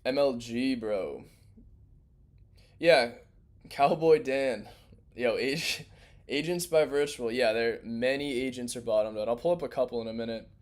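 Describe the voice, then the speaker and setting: A young man hosting a crypto livestream in loose, rambling, slangy casual chat, his voice worn and going hoarse. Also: mid-range voice, unhurried, long pauses. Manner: loose, rambling, slangy, restarts mid-thought. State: losing his voice.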